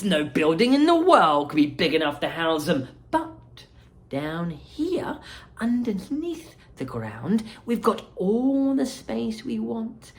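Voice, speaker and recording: A woman voicing an eccentric, excitable man who owns a chocolate factory, bossy and theatrical, in a children's storybook reading. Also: on the high side, pitched above the performer's own voice. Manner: exclamatory, theatrical, hurrying others along.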